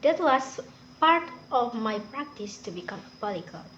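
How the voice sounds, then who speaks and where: Young woman, Indonesian accent, Sundanese native, unhurried and hesitant, casual app walkthrough; noticeable background hiss. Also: fairly high pitch, very animated, a bit bright, lo-fi sound. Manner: hesitant, with many fillers and restarts. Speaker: student reviewing a language app. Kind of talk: informal review and walkthrough.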